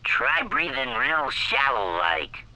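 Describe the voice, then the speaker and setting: An actor voicing an excitable, folksy alien villager in an animated game cutscene. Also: male voice, very high voice, slow, creaky, heavily acted. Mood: folksy, wary. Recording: loud vehicle and battle noise behind.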